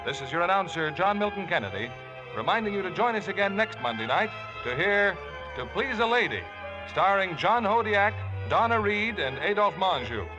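A man's voice: high voice, sing-song, normal speed, highs cut off. A man with an American accent reading scripted sponsor copy and credits as a 1950s radio announcer. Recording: vintage 1950s radio broadcast with music. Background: music.

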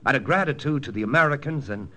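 An actor playing a confident American army intelligence lieutenant in a 1940s radio drama, brisk, persuasive and matter-of-fact. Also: male voice, medium pitch, expressive, bright, forceful tone. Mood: persuasive, firm. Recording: old radio broadcast with music.

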